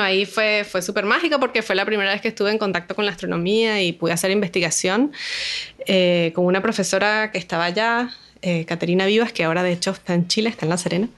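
Woman, Venezuelan Spanish accent, mid-range voice, patient explainer, interview guest. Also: mid-range voice. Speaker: astronomer, guest.

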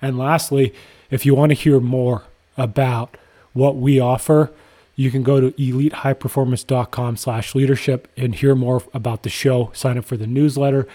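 Mellow-voiced man hosting a podcast. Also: medium pitch, steady tone, normal speed. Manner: enthusiastic, repetitive emphasis. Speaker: podcast co-host. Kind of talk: scripted podcast intro and casual chat.

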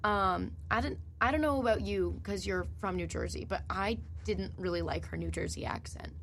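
A woman's voice: medium pitch, moderate pace, background noise. A young woman co-hosting a casual film podcast, talking with many ums and restarts. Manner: rambling, hesitant, many ums. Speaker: podcast co-host. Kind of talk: casual film review chat.

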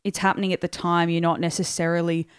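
A young woman co-hosting a casual pop culture podcast, chatty and quick to laugh, with lots of fillers. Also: lowish voice, flat delivery, smooth, no room sound, clean studio sound. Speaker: podcast co-host. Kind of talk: casual chat. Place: home studio at night.

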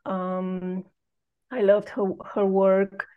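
Woman from romania in her late 30s, slow halting story on a podcast, lots of ums, mid pitch. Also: flat delivery, clear, resonant tone, phone-quality sound. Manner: halting, many pauses and fillers. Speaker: podcast guest. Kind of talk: reflective personal storytelling.

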